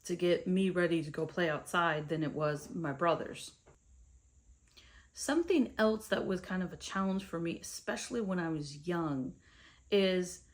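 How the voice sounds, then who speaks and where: A young woman from India with an American accent, telling her own story to the camera in a casual, chatty way. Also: on the low side. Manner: conversational, with fillers and asides to the viewer. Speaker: vlogger.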